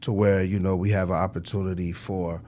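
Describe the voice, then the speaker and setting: A man's voice: low voice, little pitch movement, brisk, dark tone. An American man being interviewed as a record executive, talking casually in slang with lots of conversational tags.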